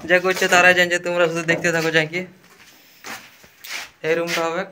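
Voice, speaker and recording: A man's voice: high voice, completely flat delivery, a little fast. A man narrating a casual vlog to viewers as a working electrician, recorded on a phone at a wiring job. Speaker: vlogger and electrician. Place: house room under wiring work. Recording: loud power-tool noise behind, phone recording. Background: power tools cutting walls.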